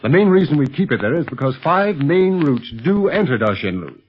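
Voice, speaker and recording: A man playing a confident, steady secret agent and leader, brisk and authoritative, in a 1930s radio adventure serial. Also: on the high side, husky. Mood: explaining. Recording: dull 1930s radio recording with faint noise.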